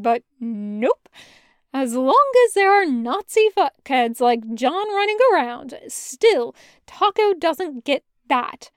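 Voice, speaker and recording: A woman narrates a story from a script in an expressive reading style. Her voice sits high, and the recording is dry and close with little room sound. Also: dry recording. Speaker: podfic reader narrating fan fiction.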